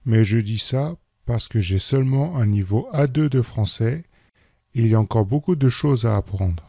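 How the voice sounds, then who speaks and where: Mellow-voiced man reading a French text. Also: medium pitch, animated, moderate pace, creaky, no echo, clean studio sound. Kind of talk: scripted reading for learners.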